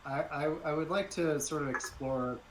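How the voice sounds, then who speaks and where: A man's voice: on the high side, moderate pace, heavy background noise. A man chatting casually as a player in a tabletop game, tentative and repeating words as he starts.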